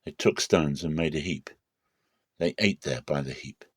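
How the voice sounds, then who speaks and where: A man narrating a scripted, dramatic Bible reading in a measured, solemn storytelling manner. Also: very deep voice, slightly rough, no room sound, studio quality.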